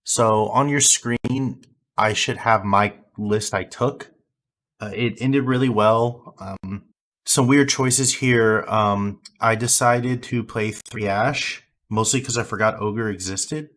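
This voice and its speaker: A hoarse young man, having lost his voice, casually reviewing his card game deck with rambling fillers and restarts. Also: on the low side, takes it slowly, fairly bright tone.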